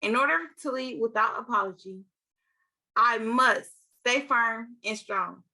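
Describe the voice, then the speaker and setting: A teenage girl from Milwaukee giving an energetic, scripted youth-leader talk. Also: on the high side, unhurried, low-quality recording. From Milwaukee, Wisconsin. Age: teens. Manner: energetic, upbeat.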